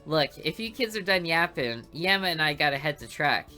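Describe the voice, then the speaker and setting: A woman voicing a brash, hot-headed teenage boy athlete who talks in a gruff, bossy way. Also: deep voice, expressive, a little fast, sharp tone, faint music behind.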